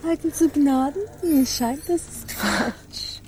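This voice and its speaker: Eine Schauspielerin in einem deutschen Hörspiel, die eine gefasste, höfliche, lebensmüde Dame auf See gemessen und förmlich spielt. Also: female voice, high-pitched, expressive, a little mellow, a little hiss or hum. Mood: gently reproving. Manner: measured, formal. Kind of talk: German radio drama acting.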